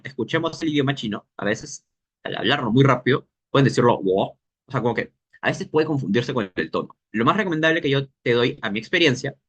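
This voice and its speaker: A man leads an informal language workshop. He talks at a middle pitch and works through each point aloud, stopping now and then to search for the right word. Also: a bit bright, slightly rough. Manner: explains thinking aloud, pausing to search for words.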